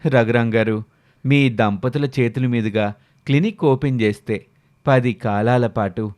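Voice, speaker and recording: A man playing a polite, persuasive village flatterer who runs a clinic, in a moral story. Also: medium pitch, normal speed, lightly acted. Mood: flattering, persuasive.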